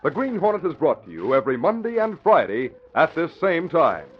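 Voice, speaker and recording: Male radio narrator, 1940s style, slightly high pitch, dramatic, crisp delivery, dull vintage broadcast sound. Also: huge pitch swings, brisk, faint music behind. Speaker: announcer and narrator. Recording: muffled 1940s radio recording.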